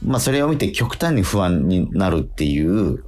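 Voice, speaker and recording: A middle-aged man chatting casually as a radio host, in a hesitant, halting and self-doubting way. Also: sits low, big pitch swings, darkish tone. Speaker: radio host and stage actor.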